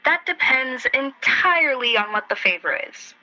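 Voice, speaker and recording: A woman's voice: high-pitched, animated, moderate pace, bright, forceful tone, no room sound, dull audio, full character voice. A woman acting in a radio play as an exasperated, sarcastic, reluctant sibling.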